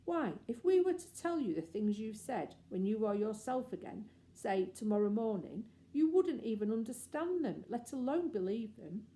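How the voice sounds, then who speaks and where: A woman voicing a grave, sensible elder brother, a boy talking in a measured, patronising way in a storybook. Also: fairly high pitch, sing-song, dark tone.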